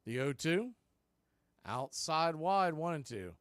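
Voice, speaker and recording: High-pitched American baseball play-by-play man. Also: very animated, takes it slowly, a bit bright, clean tone, no room sound, studio quality. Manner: clipped phrases, punchy calls, conversational asides. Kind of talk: live radio play-by-play commentary.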